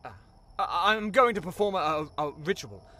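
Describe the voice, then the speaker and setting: High-voiced, bumbling warlock. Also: male voice, expressive, normal speed, bright, forceful tone. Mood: nervous boasting. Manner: hesitant, stammering, nervous. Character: bumbling, pathetic male warlock, insecure and self-important. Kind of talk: comic fantasy audio drama.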